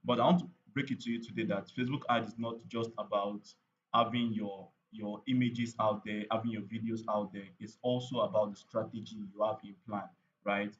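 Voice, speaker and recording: A man's voice: fairly low pitch, fairly even intonation, brisk, dull audio, a little hiss or hum. A man running a live online training on paid ads, chatty and repetitive, with lots of fillers and "right?" tag questions.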